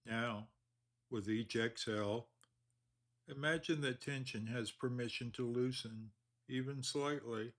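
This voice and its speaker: Calm man guiding a meditation. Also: medium pitch. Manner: slow, calm, measured delivery with long pauses. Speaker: meditation guide. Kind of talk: guided meditation.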